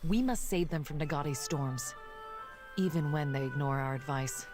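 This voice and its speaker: A woman's voice: deep voice, moderate pace, a little mellow, faint music behind, natural voice. An actress playing a proud, bitter island woman warrior in a video game, with stern, formal speech.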